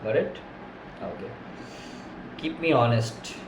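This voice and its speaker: A man with an Indian English accent and Hindi as his first language, practicing English phrases in a halting, casual vlog full of fillers and self-corrections. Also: medium pitch, lively intonation, reverberant, noisy background.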